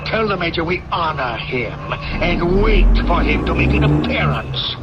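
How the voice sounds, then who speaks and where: An actor playing a pompous, vain army general in an old-time radio drama, with a blustering, oratorical manner. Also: male voice, expressive, moderate pace, close to an everyday voice. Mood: imperious. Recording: muffled old radio broadcast, music, vehicle rumble.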